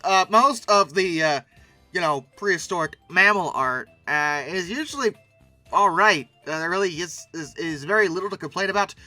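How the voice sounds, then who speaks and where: A man's voice: sits high, pushed and bright. A man giving casual, humorous commentary in a theatrical way, repeating words and adding mock-exasperated asides. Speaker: host reviewing old paleoart.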